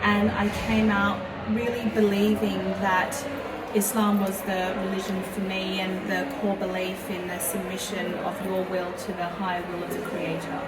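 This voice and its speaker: A woman's voice: mid-range voice, monotone, moderate pace, heavy background noise. A middle-aged woman answering interview questions in an earnest, fluent, explanatory way. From Indonesia, raised in Australia. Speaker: guest, lawyer and entrepreneur. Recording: echoey room from far off, loud music. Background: loud background music.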